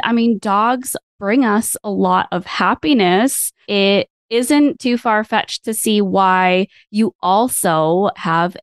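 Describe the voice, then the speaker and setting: A woman's voice: mid-range voice, dry recording, studio quality. A woman hosting a podcast, talking in an enthusiastic, chatty way. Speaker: podcast host. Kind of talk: podcast interview.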